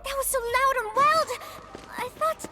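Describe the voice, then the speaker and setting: An actress playing a small, excitable, feisty young fan in a video game, with childlike, exclamatory delivery. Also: female voice, very high voice, measured pace. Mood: excited, proud. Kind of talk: animated video game voice acting.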